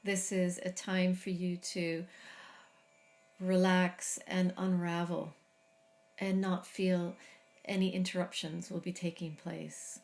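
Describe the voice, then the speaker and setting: A middle-aged woman guiding a body scan meditation, speaking slowly and soothingly with long pauses. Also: fairly low pitch, flat delivery, creaky. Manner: slow, soft, soothing guidance with long pauses. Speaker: meditation teacher.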